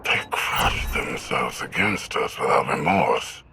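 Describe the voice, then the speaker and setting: An actor playing a cold, menacing game emperor who is amused by slaughter, speaking slowly and disdainfully with a gravelly rasp. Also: male voice, a bass voice, expressive, very noisy, heavily acted. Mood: cold contempt. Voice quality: gravelly, rasping.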